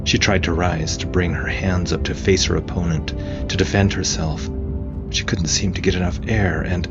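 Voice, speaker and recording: A man narrating a scripted story as podcast host and game master, in a theatrical, deliberate way. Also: a bass voice, muffled recording. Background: clear background music.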